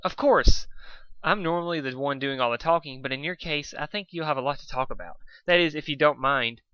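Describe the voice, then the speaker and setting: A man voicing a timid, gentle female pony who rambles apologetically, as in a cartoon fan story. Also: a little high, brisk, clear, resonant tone, dry recording, lo-fi sound. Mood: gentle, innocent.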